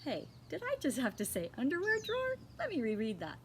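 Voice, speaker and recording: Animated woman reading a storybook aloud. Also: sits high, fairly quick, a little hiss or hum. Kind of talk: animated read-aloud narration of a children's chapter book.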